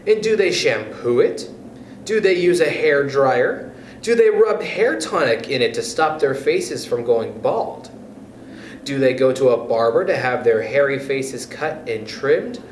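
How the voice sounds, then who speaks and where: Man reading aloud in an echoey room. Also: on the high side. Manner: addresses young listeners directly, encouraging. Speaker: storytime host reading to children. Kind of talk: expressive read-aloud of a children's book. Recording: echoey room with background noise.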